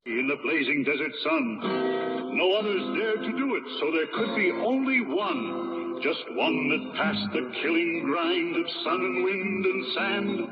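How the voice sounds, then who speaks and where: Slow, high, dramatic ad narrator. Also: male voice, animated, loud music behind the voice. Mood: grand, dramatic. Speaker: commercial jingle and ad voice. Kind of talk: dramatic vintage advertisement. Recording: distant, echoey, muffled old broadcast.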